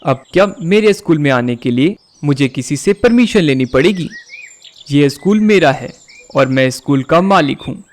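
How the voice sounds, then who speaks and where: A man's voice: fairly high pitch, expressive, normal speed, natural voice. A man voicing a domineering, accusing kite bird who owns a school in a Hindi cartoon. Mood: stern, accusing. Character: angry, bossy male kite bird, school owner.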